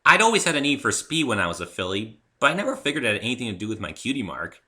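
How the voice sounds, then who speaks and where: A man's voice: medium pitch, big pitch swings, racing, pushed and bright, pitched above the performer's own voice. A man voicing a brash, boastful young female pegasus pony racer in a dramatic story reading, cocky and emphatic. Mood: boastful, excited. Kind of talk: dramatic reading of fan fiction.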